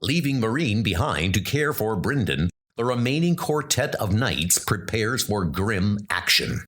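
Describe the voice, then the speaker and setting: A man's voice: fairly low pitch, big pitch swings, a little slow, fairly bright tone. A man giving dramatic, scripted narration of a comic-book adventure. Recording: music under the voice.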